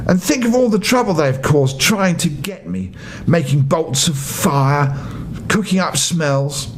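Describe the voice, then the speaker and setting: Man voicing a grumpy, indignant magic stone, swooping pitch. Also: lively intonation, unhurried. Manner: indignant, complaining. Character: grumpy, self-pitying, proud talking magic stone.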